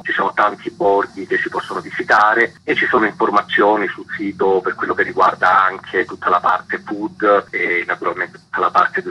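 A man with a husky voice, explaining things as a guest festival organiser in a radio interview over the phone. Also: low-pitched, bright, forceful tone, rough recording.

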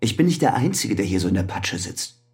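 A man's voice: fairly low pitch, sing-song, a little fast, a little mellow. An actor playing a weary, defensive male prison inmate in a radio drama, speaking naturalistically. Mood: bitter, agitated.

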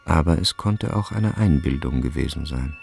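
A man narrating a literary radio drama from a script, measured and precise in his storytelling. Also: extremely low pitch, animated, gravelly, over loud music.

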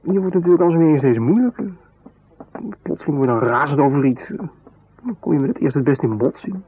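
An actor playing an easygoing, slightly defensive husband in a naturalistic radio drama, talking in a casual, evasive way. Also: male voice, fairly high pitch, animated, fairly quick. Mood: evasive, reflective. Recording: muffled, phone-like 1970s radio recording, noisy.

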